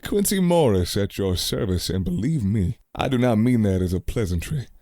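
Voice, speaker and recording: An actor playing a bold, loyal cowboy gentleman in a radio play, with a courtly, florid, folksy manner. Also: lowish voice, animated, moderate pace, dry recording, studio quality.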